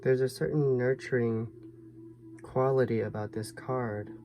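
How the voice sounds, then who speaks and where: A man giving a tarot reading to viewers in a soft, musing way, his voice a little hoarse. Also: medium pitch, steady tone, measured pace, faint music behind. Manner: soft, musing, thinks aloud with fillers. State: slightly hoarse, losing his voice. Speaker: tarot reader.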